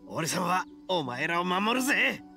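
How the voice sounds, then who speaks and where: Gravelly brash oni gang boss. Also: male voice, sits high, big pitch swings, unhurried, over music, full character voice. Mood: protective bravado. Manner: swaggering, gravelly. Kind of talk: anime game acting.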